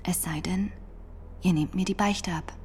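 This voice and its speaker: A woman's voice: on the low side, steady tone, unhurried, a little mellow, husky. An actress in a radio drama playing a devout, defiant nineteen-year-old peasant woman on trial, steadfast and plain-spoken in manner. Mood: quietly defiant.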